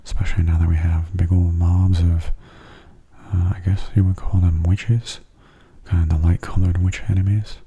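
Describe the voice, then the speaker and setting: A man giving gentle, soft-spoken ASMR game commentary in a breathy, near-whispered voice, unhurried and close to the microphone. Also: low-pitched, flat delivery, dull audio. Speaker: gaming channel host.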